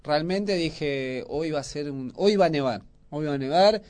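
A man's voice: fairly high pitch, a little slow, a little mellow. A man with a Buenos Aires accent chatting casually as a co-host on a radio show. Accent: Buenos Aires Argentine Spanish.

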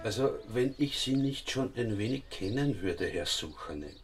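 An actor playing a sardonic, pedantic police inspector in a radio drama, with an Austrian accent and a dry, wordy delivery. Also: male voice, fairly low pitch, lively intonation, moderate pace, loud music behind the voice, natural voice. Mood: dry sarcasm.

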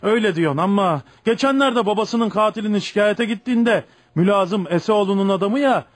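High-pitched, gossiping village man. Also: fairly quick, no room sound. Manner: insistent, tale-telling. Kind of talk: radio drama acting.

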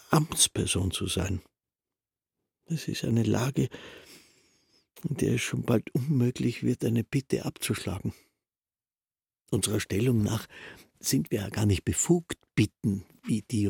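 Long-winded secretary, very husky, low-pitched, pedantic yet rapturous, radio play. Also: male voice, darkish tone, no room sound, clean studio sound, exaggerated voice. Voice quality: husky, creaky. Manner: pedantic, long-winded, insistently rhetorical, chuckling. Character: talkative, rapturous male castle secretary musing at night. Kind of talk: German radio drama acting.

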